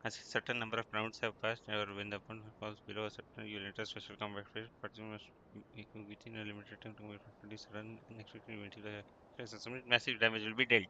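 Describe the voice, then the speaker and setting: A man's voice: on the low side, level tone, fast, pushed and bright, muffled recording. A man livestreaming a game with casual, off-the-cuff commentary, with slight noise in the recording. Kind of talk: casual playthrough commentary, muttering and echoing dialogue.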